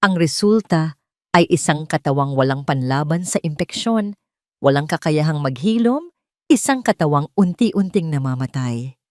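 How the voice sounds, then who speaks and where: A woman's voice: deep voice, animated, normal speed, darkish tone, dry recording, studio quality. A woman with a Filipino accent narrating a scripted dramatic story.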